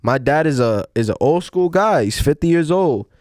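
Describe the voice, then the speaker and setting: A man's voice: fairly high pitch, animated, moderate pace, darkish tone, dry recording, clean studio sound. Young man chatting in casual slang. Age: young adult. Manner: rambling, restarts with "like" and "you know". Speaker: podcast host. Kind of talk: casual chat.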